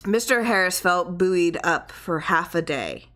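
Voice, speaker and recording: Low-pitched woman reading horror fiction. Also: animated, a little slow, a bit bright. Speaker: audiobook reader. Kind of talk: scripted narration of a horror story.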